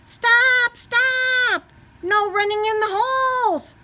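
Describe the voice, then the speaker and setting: Woman putting on an angry, high-pitched yelling school staffer. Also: slow, slight background noise, heavily acted. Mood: angry, alarmed. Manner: shouting, scolding. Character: angry shouting male school staff in storybook.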